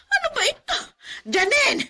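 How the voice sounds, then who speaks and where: A woman's voice: very high voice, big pitch swings, moderate pace, pushed and bright, full character voice. A woman acting a fussy, middle-aged aunt in a radio drama, exclaiming and nagging in a melodramatic way. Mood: exasperated scolding. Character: scolding older aunt, fussy and quick to complain.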